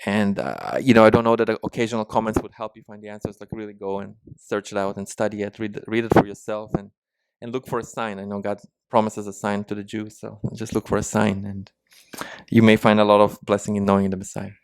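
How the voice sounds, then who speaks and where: A man's voice: fairly low pitch, steady tone, fairly quick, creaky, clean tone, dry recording. A man with a slight Ukrainian-Israeli accent answering interview questions, hesitant with many restarts and fillers. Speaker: musician guest.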